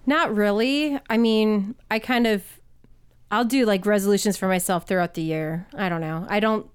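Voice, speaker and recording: A woman joining a studio radio chat as a guest. Her voice is smooth and clear at a middle pitch, and she answers in blunt, quick retorts.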